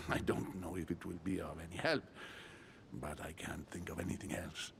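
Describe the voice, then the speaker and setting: A grave, gravelly old churchman. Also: low voice, steady tone, fairly bright tone, a little hiss or hum. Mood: pondering. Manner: formal, deliberate, fatherly address. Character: elderly senior churchman, courteous, grave and measured. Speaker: video game character. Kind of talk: dramatic game dialogue.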